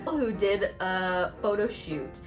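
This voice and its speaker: A woman chatting casually to camera as she tells a personal story, animated and conversational as she acts out reactions. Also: medium pitch, slow pace, music in the background. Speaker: actor and vlog host telling her own story.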